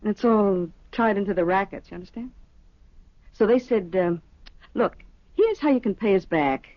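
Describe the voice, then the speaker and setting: An actress playing a breezy, worldly young mistress in a radio drama, speaking in casual, matter-of-fact slang. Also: female voice, mid-range voice, animated, moderate pace, no room sound, natural voice. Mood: frank, casual. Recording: dull vintage radio recording.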